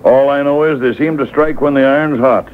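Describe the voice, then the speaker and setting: A man's voice: fairly even intonation, brisk, crowd noise, natural voice. Actor as an obliging townsman, tinny sound. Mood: eager. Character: friendly, obliging male townsman informant. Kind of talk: old-time radio drama acting. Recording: very tinny radio broadcast taped on boombox.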